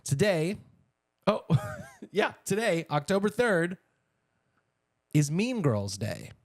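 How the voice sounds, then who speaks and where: Animated, playful man bantering on a podcast. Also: high voice, slow pace, no echo, clean studio sound. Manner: playful, teasing. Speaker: podcast co-host. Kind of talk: casual banter with a co-host.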